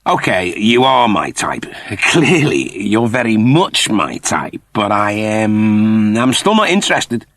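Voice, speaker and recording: A man's voice: on the low side, sing-song, slow pace, fairly bright tone, no echo, full character voice. A man voicing a laid-back, stubborn male prisoner in a sci-fi story, talking bluntly and casually with cheek. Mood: flustered.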